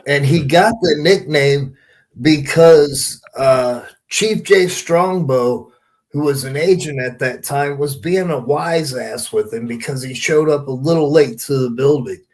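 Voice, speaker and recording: A man's voice: a little high, measured pace. A man hosting a podcast in casual chat, telling anecdotes in an informal, emphatic, sweary way. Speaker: podcast host.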